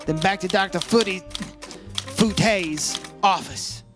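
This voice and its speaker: A man's voice: sits high, slow pace, over music. An actor playing a shrewd, brash insurance investigator in a radio detective play, slangy and clipped in his talk. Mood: wry narrating. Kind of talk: old-time radio detective acting.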